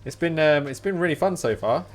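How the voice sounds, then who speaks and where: A man's voice: a little high, animated, a little fast. A man streaming a game, chatting informally with rambling explanations. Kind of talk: casual live-stream chat while gaming. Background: game music.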